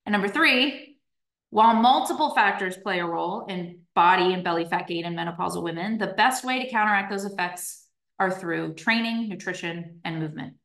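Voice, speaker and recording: A woman's voice: lowish voice, a little fast, fairly bright tone. A woman in her thirties, a strength coach, talking casually and upbeat to her online group, with lots of fillers and tag questions. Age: 30s. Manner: chatty, upbeat, many fillers and "right?". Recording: roomy echo.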